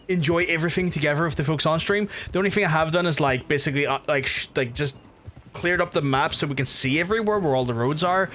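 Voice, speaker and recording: A man with a softened Belfast accent, chatting casually and rambling as he streams a game, swearing freely. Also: medium pitch, slight background noise. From Belfast, Northern Ireland. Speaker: live streamer. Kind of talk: casual gameplay commentary and chat with viewers.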